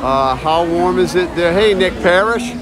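A man talking loudly and casually to viewers as he live-streams while walking around. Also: sits high, animated, unhurried. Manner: loud, chatty, talks to viewers and chat. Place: outdoors at a busy shopping area, later indoors. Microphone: phone held while walking. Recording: phone audio with crowd noise. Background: crowd chatter.

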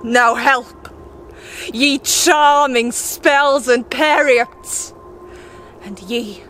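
Over clear background noise, a woman acts out a desperate young warrior woman in a Shakespeare monologue. The voice is fairly high and very unhurried, theatrical, moving between hushed and imploring delivery. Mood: imploring summons. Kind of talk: solo Shakespeare monologue, dramatic recitation.

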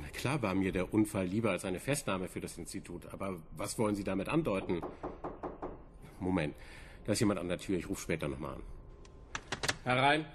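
A scheming boss of a research institute in a radio play. His voice is deep, his manner formal, curt and guarded, and music plays clearly behind him. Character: evasive, scheming male institute boss, brusque authority. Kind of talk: German radio drama acting.

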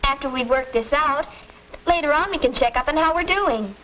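A woman's voice: high-pitched, normal speed, close to an everyday voice. A young American woman playing a dutiful, slightly defensive teenage daughter in a 1940s instructional film. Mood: conciliatory. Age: teens to young adult. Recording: dull, noisy 1940s film soundtrack.

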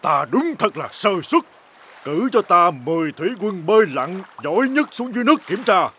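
A high-voiced enemy commander from an animated folk tale. His delivery swings widely and dramatically. He is imperious, irritable and full of bluster toward his soldiers. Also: moderate pace, husky, heavily acted. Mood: threatening, stern. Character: arrogant, irritable enemy war commander threatening his men.

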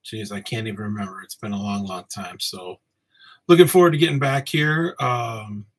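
A man in his forties, originally from Samoa, hosting a casual solo podcast from his home office, talking in a relaxed, rambling way with many ums. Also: fairly low pitch, normal speed, husky. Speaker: podcast host. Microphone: webcam framing, seated at desk.

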